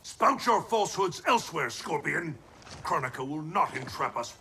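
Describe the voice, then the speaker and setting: A man's voice: on the high side, expressive, moderate pace. A man playing a distrustful, wrathful god in a dramatic video game, with commanding, declamatory speech. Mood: accusing. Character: wrathful, distrustful thunder god protecting Earth. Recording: loud cinematic music behind.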